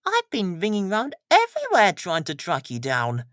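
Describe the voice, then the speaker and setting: Man putting on a high, chatty postmistress. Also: wildly swooping pitch, normal speed, fairly bright tone, no echo, studio quality, exaggerated voice. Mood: relieved, fussy. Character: kindly, chatty village postmistress.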